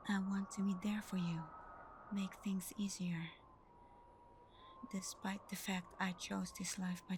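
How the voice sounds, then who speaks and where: Woman acting a slow, breathy vampire girlfriend. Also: on the low side, a little hiss or hum, close to an everyday voice. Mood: hurt, pleading. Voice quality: soft, breathy, often half-whispered. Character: caring, hurt vampire girlfriend. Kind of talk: ASMR roleplay, intimate scripted acting.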